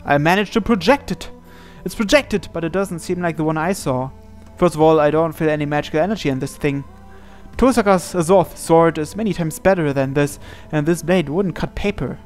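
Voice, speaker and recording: A man's voice: fairly high pitch, fairly quick, faint music behind. A man reading visual novel narration aloud dramatically, as a let's player.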